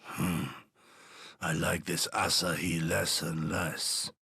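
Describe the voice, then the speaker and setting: An actor playing a gruff, protective old samurai retainer in a dramatic game scene, with a gravelly, husky voice and formal, weighty phrasing. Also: male voice, deep voice, level tone, takes it slowly, bright, forceful tone, dry recording, clean studio sound, full character voice. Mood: grim distrust.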